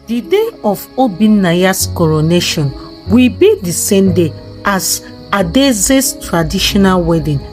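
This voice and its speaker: Lady telling a folktale, slow n dramatic, really expressive pitch, soft music under it. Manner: dramatic, measured storytelling delivery. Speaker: storyteller. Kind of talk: scripted folktale narration.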